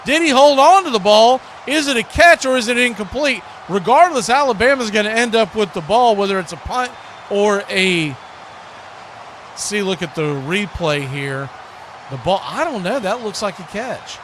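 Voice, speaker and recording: Higher-voiced American football commentator, noisy background. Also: male voice, moderate pace. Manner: conversational, folksy, rises to excited shouts on big plays. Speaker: play-by-play sports commentator and stream host. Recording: clearly noisy live stream background.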